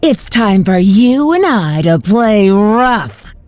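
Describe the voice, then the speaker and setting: An actress playing a flirtatious, cruel mercenary villainess in a video game, taunting and gloating theatrically. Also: female voice, medium pitch, very animated, slow, darkish tone, no room sound, soft background music. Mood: gloating, menacing.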